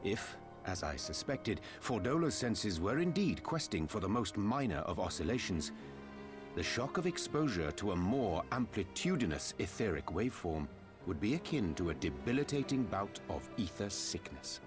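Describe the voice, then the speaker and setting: A low-voiced, courtly scholar. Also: male voice, big pitch swings, over music. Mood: scholarly, measured. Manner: archaic, elaborate, measured diction. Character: scholarly, courtly male sage, verbose and deliberate. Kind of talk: video game dialogue acting.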